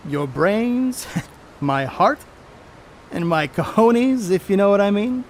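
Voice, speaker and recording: A man acting a brash, high-pitched cocky slugger. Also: expressive, slow, a little hiss or hum, heavily acted. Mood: teasing, boastful. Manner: blustering, folksy slangy talk, swearing. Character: brash, cocky, profane star baseball slugger, 1930s. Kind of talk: amateur stage play acting.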